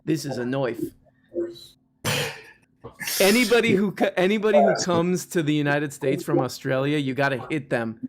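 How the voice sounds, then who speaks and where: A man's voice: on the high side, normal speed, pauses often. A man hosting a live call-in stream, chatting casually with callers and joking with mock outrage. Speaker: live show host taking callers.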